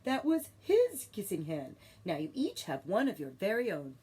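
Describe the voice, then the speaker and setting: A woman voicing a gentle, loving mother raccoon in a storybook, with a soothing, reassuring and emphatic manner. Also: medium pitch, wildly swooping pitch, moderate pace, husky, exaggerated voice.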